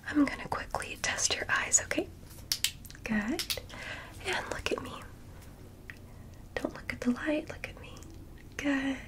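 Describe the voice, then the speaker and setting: A woman in a soft, high, airy whisper, playing a caring nurse in an ASMR exam. She keeps her voice hushed and asks gently before each step, calling the listener darling. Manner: gentle, reassuring, asks permission often, calls listener darling. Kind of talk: whispered ASMR medical roleplay.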